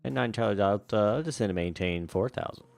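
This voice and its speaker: A man with a low, creaky voice speaks as an air traffic controller on a stream. He moves between standard radio calls and informal, relaxed chat. Faint music plays behind him. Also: fairly quick. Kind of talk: radio phraseology mixed with casual stream chat.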